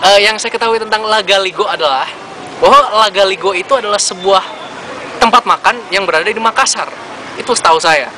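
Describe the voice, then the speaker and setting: Quick, high-voiced young man. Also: sharp tone, some chatter behind, slight background noise. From Cirebon. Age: young adult. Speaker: student interviewee.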